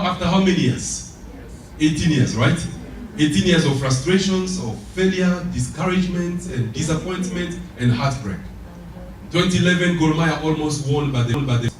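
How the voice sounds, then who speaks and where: A French-speaking man from Congo delivers an upbeat motivational speech in a Congolese-accented voice that sits a little high. He fires rhetorical questions at the crowd and repeats his punchlines. The sound is distant and booms around a large, very echoey hall. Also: slight background noise. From Democratic Republic of Congo. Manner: lively, asks the audience rhetorical questions and repeats key phrases.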